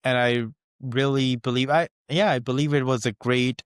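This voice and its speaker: Smooth-voiced male host, close dry sound. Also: medium pitch, steady tone, normal speed, no room sound. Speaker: webisode host. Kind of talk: casual interview wrap-up.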